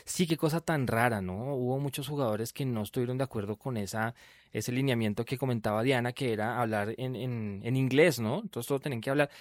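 A man's voice: mid-range voice, brisk, no room sound, clean studio sound. A man hosting an interview, chatty and full of fillers and restarts. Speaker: radio host.

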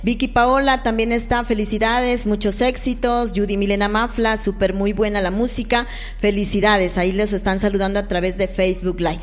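A woman with a Colombian accent hosting a live local radio interview, halting at times as she searches for words. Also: medium pitch, little pitch movement. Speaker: radio host interviewing musicians. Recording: echoey hall-like reverb.

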